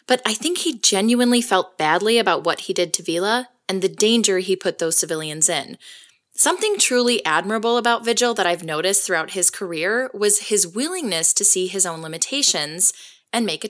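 Woman acting a documentary-style narrator for an audio drama, medium pitch, measured and thoughtful. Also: animated, fairly bright tone, close to an everyday voice. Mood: reflective, sympathetic. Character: reflective woman case historian narrating a hero's career. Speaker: narrator and host.

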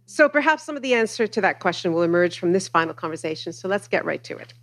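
A woman moderating a public panel discussion, measured and inviting as she poses questions. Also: lowish voice, animated, fairly bright tone.

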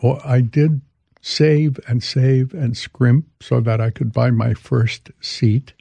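A man in his eighties from Hungary, speaking haltingly as he reminisces as an interview guest. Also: mid-range voice, flat delivery, vocal fry, mellow, slightly rough, no room sound. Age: 80s. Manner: halting, hesitant delivery. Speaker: interview guest, veteran commodities exchange trader.

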